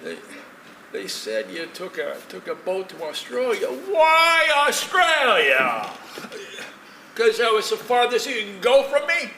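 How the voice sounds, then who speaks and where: A man playing a halting old man, echoey stage. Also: very high-pitched, huge pitch swings, heavily acted. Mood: wistful, hurt. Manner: halting, pleading, wistful. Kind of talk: stage play acting, dramatic dialogue. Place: stage in a theatre, distant microphone. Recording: echoey, noisy theatre recording from far off.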